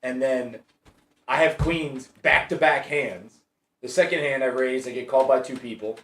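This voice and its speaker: A man telling a poker story in casual chat, quick and frustrated. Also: mid-range voice, fairly bright tone. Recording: distant, roomy pickup.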